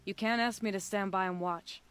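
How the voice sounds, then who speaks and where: A woman's voice: mid-range voice, steady tone, normal speed, a bit bright, clean tone, lightly acted. A woman playing a bold, loyal huntress in a video game, speaking firmly and with pride. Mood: defiant. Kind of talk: dramatic video game dialogue.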